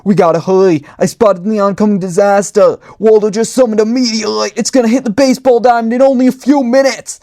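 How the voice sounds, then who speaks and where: Urgent, easily panicked male agent for a comic storybook, performed by the narrator, raised pitch. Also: fairly even intonation, fairly quick, few pauses, mellow, close to an everyday voice.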